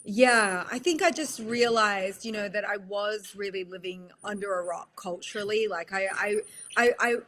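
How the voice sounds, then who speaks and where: An Australian woman from Australia, a guest on a podcast interview, speaking reflectively with many halting restarts. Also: mid-range voice, fairly bright tone. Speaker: guest, actress.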